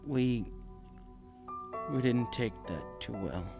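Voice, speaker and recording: A man voicing a humble, anguished pegasus father in a storybook, halting, earnest and deferential. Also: mid-range voice, expressive, vocal fry, music in the background. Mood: sorrowful. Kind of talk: storybook character voice.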